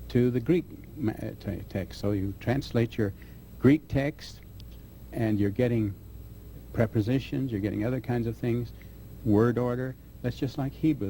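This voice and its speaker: A man answering interview questions as a scholar, speaking haltingly with restarts mid-phrase. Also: medium pitch, mellow, a little hiss or hum, poor audio. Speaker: guest scholar.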